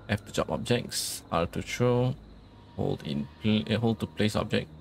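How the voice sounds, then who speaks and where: Low, creaky-voiced man doing game commentary. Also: slight background noise. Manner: murmured thinking aloud, reads on-screen instructions. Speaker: gaming video host. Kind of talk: casual let's play commentary.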